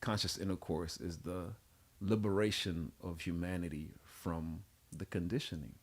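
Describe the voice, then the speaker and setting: A man's voice: low-pitched, steady tone, normal speed. A man whose first language is French, hosting a casual radio talk show and asking playful, probing questions. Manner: questioning, playful, repeats "right?". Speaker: radio host.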